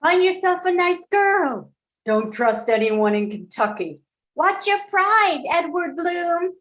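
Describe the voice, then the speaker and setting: Fussing small-town advice-giver played by a woman, extremely high pitch, animated, drawn-out delivery. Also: exaggerated voice. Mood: fussing, doting. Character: assorted fussing townsfolk offering homely advice.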